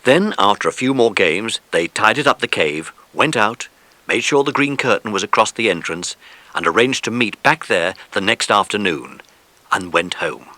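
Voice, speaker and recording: A man's voice: lowish voice, lively intonation, normal speed, sharp tone, no room sound. A man reading scripted narration for a children's adventure audiobook.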